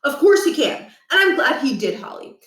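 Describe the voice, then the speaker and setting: A woman's voice: high-pitched, big pitch swings, normal speed, fairly bright tone, pitched above the performer's own voice. A woman voicing a pompous, power-hungry older male school principal in a children's story, lecturing with condescension and menace. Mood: smug. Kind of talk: children's book read aloud. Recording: echoey room, distant from mic.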